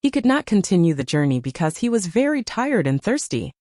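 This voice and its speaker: A woman's voice: medium pitch, sing-song, fairly quick, a little mellow, no echo, clean studio sound. A woman narrator reading a scripted moral tale for children in storybook style.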